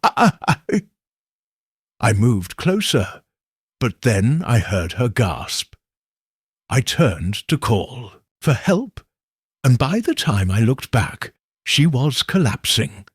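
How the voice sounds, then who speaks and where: Timid young lady witness played by a male narrator, hesitant and tremulous, slow, animated pitch, audiobook mystery. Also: slightly rough, no room sound, studio quality, full character voice. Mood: hesitant, frightened. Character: timid, anxious, tearful young gentlewoman witness.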